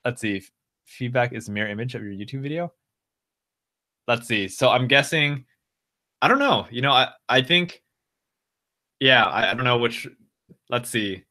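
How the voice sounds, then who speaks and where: An American man hosts a live stream, speaking in a bright, forceful voice at a middling pitch. He rambles easily, stopping and restarting as he reads out and answers his viewers' comments. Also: moderate pace, long pauses. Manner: loose, rambling, full of restarts and fillers. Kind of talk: casual live stream chat.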